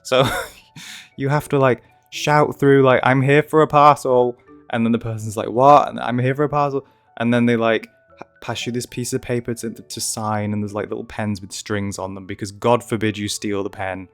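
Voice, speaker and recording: A man's voice: mid-range voice, steady tone, moderate pace, clean tone, soft background music. A man with a cold, chatting casually and ranting a little while commenting on a video game build. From United Kingdom. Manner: chatty, ranting, self-deprecating asides. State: has a cold. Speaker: gaming channel host.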